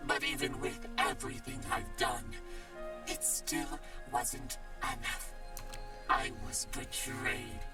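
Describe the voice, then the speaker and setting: A man voicing a brooding, vengeful game villain in a hushed, grave, dramatic monologue. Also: mid-range voice, little pitch movement, takes it slowly, far from the mic, music in the background, lightly acted. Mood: bitter, menacing. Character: brooding, vengeful villain vowing to corrupt the world.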